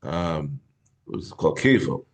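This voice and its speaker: A hoarse middle-aged man teaching a Talmud class in a halting way, often clearing his throat. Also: rumbling low, very animated. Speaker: Torah teacher.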